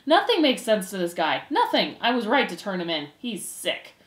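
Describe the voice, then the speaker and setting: A woman's voice: mid-range voice, sing-song, moderate pace, roomy sound, natural voice. A woman voicing an impatient young male mouse in a storybook, emphatic and bossy. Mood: exasperated.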